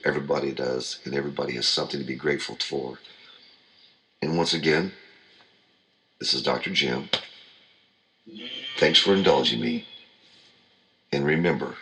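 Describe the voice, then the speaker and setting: A man's voice: extremely low pitch, pushed and bright. A middle-aged man hosting a motivational talk show, speaking in an earnest, heartfelt way and repeating his points for emphasis.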